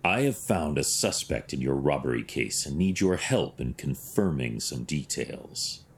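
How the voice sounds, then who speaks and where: An actor playing a grim, stoic masked vigilante detective in an audio drama, speaking in clipped, formal, deadpan phrases. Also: male voice, extremely low pitch, measured pace, heavily acted. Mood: commanding, calm.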